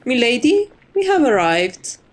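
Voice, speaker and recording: A woman playing a polite, devoted maid to a vampire mistress in an ASMR roleplay, formal and composed with quiet menace. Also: a little high, very animated, a little slow. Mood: courteous, composed.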